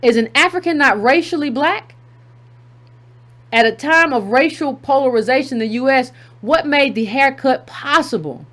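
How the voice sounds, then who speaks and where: Emphatic woman reading aloud, fairly high voice. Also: normal speed, a bit bright. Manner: emphatic, repeats key phrases for effect. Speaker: commentary channel host. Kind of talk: reading a text aloud with running commentary.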